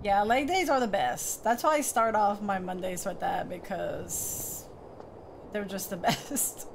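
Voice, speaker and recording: A woman's voice: medium pitch, unhurried, gravelly, noisy background. A young woman streaming a game, chatting to the character on screen and to her viewers from a small room. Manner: chatty, talks to the game character and reads chat aloud. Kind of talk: live game commentary. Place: small room with colorful lights behind.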